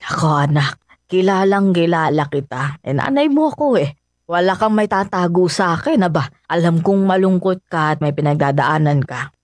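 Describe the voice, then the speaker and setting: A man's voice: sits high, expressive, moderate pace, no room sound. A man voicing a worried, nagging middle-aged mother in a radio drama, fussing over her grown son. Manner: fussy, scolding, motherly. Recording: dry studio with music bed.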